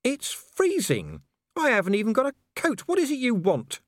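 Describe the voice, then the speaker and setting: A man's voice: fairly high pitch, sing-song, unhurried, dry recording, studio quality, voice pushed up. A man voicing a tough, weary police commander who answers in strained, urgent retorts.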